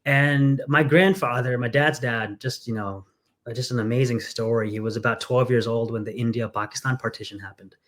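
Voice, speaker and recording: A man's voice: mid-range voice, fairly even intonation, normal speed, fairly bright tone. Earnest man, candidate in an interview. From England. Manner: earnest, storytelling, frequent fillers. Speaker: congressional candidate. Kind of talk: conversational interview.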